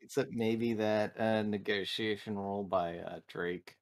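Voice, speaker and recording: Male gamer, unhurried and fairly deep, relaxed-pace casual talk at a game session. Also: a crowd in the background. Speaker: tabletop game player.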